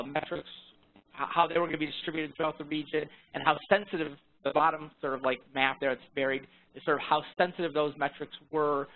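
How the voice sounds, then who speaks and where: Higher-pitched man on a thin phone line. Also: creaky. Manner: halting, repeats words and rephrases often. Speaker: research presenter. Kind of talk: informal technical presentation on a conference call. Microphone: phone conference call. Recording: thin, band-limited phone conference line.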